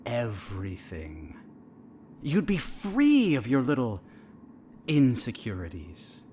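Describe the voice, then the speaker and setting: Guy acting as creepy calm shrink, needling and manipulative, voice swoops all over, bit of noise, audio drama. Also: medium pitch. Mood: quietly sinister. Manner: measured, clinical, needling. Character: calm, probing, sinister male therapist, coolly manipulative.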